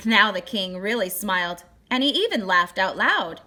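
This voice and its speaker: A woman reading a children's picture book aloud as narrator, with deliberate, expressive phrasing. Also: medium pitch. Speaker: storybook reader.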